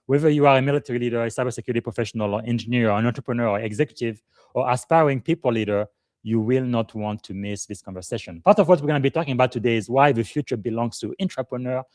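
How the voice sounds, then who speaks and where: A man's voice: mid-range voice, rapid-fire, no room sound. Man hosting a live podcast, dry sound. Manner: warm formal introductions with many "uh" fillers. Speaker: podcast host and leadership coach.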